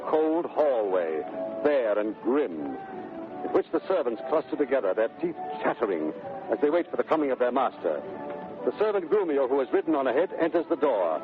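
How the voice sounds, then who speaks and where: A man narrating a 1930s radio drama in a theatrical, deliberate scene-setting style. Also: mid-range voice. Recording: narrow-band 1930s radio recording. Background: music.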